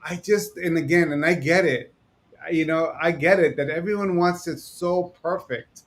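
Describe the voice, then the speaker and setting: A man from New York who owns a digital agency, talking fast and rambling in a casual chat. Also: on the high side. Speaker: digital agency owner, co-host.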